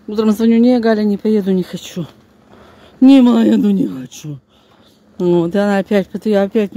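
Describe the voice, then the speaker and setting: A woman's voice: mid-range voice, warm tone. Grumbling older woman, noisy phone recording. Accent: Northern Russian. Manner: colloquial, grumbling, chatty, with folksy sayings; talking while walking. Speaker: vlogger. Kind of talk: casual spoken vlog, talking to viewers. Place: outdoors on snowy residential streets, briefly stairwell and bus. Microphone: handheld phone. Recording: noisy handheld phone recording, street ambience.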